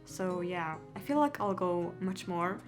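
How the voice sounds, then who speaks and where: Young girl rambling to camera, giggly, lots of likes, soft guitar music under it. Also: on the low side, lively intonation, measured pace. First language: Swedish. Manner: chatty, giggly, lots of "like" and "yeah". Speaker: vlogger. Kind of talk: casual Q&A chat to camera.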